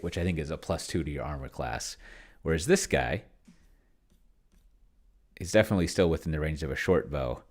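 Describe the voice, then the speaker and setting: Male game commentator, notably low-pitched, casual and rambling, talking himself through each decision. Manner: rambling, musing to himself as he works. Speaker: solo tabletop role-player and host. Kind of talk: casual think-aloud gameplay commentary.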